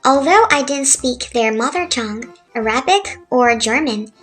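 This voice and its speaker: A high-pitched woman in her thirties with a Korean accent talks through a relaxed, scripted lesson. She speaks in an easy, chatty, laid-back way. Soft music plays quietly behind her. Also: normal speed. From South Korea. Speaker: language tutor. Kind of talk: relaxed scripted narration over drawings. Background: faint music.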